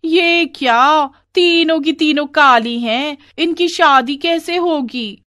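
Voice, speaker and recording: A woman voicing a haughty, taunting middle-aged aunt in a cartoon family story, loud and scolding. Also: high-pitched, slow, no echo, clean studio sound, voice pushed up, exaggerated voice. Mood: scornful. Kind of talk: animated Hindi family story acting.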